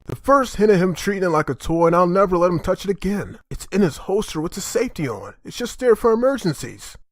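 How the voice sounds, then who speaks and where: A man's voice: sits high, sing-song, fast, no echo, studio quality. A man playing an earnest, protective father who leads survivors in an amateur comic dub. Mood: defensive, exasperated.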